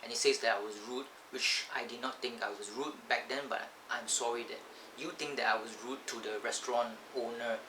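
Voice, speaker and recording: A Malaysian man at a middling pitch talks loosely to his camera, wandering off topic and stopping to fix his own words. The camcorder's built-in mic thins out his voice and strips away its bass. A clear hum of background noise runs under the whole recording. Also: steady tone, a little fast. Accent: Malaysian English. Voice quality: thin sound, lacking bass. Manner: rambling, digressive, self-correcting. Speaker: vlogger. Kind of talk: casual rambling vlog talk.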